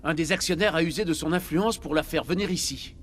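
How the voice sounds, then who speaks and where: A man's voice: a little high, brisk, fairly bright tone, slight background noise, lightly acted. A man playing an earnest lab doctor in a dubbed video game. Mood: worried, helpful. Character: earnest, grateful male doctor in a research lab. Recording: music behind the voice.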